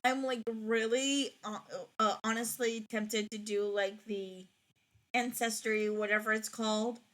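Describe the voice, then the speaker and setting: A woman's voice: on the high side, fairly even intonation, unhurried, fairly bright tone. A young woman chatting casually while playing a game, rambling with frequent restarts. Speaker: streamer. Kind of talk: casual gaming chat.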